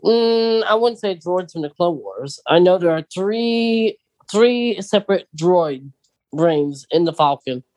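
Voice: mid-range voice, expressive, slow pace, a little mellow, no room sound, low-quality recording. A podcast co-host chatting casually about films and books.

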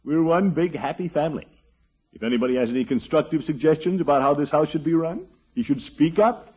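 An actor playing a blustery, pompous middle-aged uncle who chuckles and mutters in 1950s radio comedy style. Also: male voice, on the high side, brisk, dark tone, husky. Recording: muffled, noisy old radio recording.

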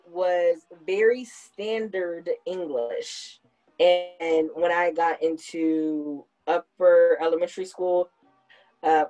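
Woman with a lowish voice, reflective. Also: mellow, poor audio. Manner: reflective, explains at length. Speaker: podcast host. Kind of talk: casual conversational chat.

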